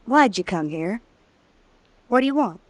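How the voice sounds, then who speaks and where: A woman's voice: on the high side, expressive, unhurried, no room sound. A woman playing a tough, guarded drifter in an old-time radio western, with a terse, probing way of talking. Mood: defensive. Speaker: radio drama actress. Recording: vintage radio drama recording.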